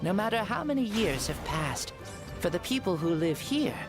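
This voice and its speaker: A woman playing a calm, dignified warrior ruler in a video game, speaking in a measured, composed way. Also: fairly low pitch. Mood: reflective. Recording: game audio under loud music.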